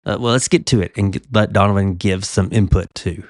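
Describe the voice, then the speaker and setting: A man's voice: sits low, brisk, no echo, clean studio sound. A man hosting a casual TV podcast, talking easily with a slightly stuffy voice from a cold. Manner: conversational, slightly self-interrupting. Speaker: podcast host. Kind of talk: casual chat.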